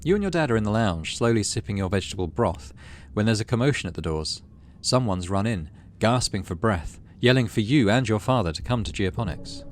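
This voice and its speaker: A man narrating a story game aloud as a gaming host, expressive and measured, with casual asides. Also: lowish voice. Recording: clearly audible background noise.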